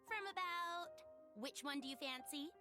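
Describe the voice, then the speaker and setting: A woman's voice: sits high, measured pace. A woman playing a cheeky, teasing companion to a prince in a video game cutscene. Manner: playful, teasing. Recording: music behind the voice.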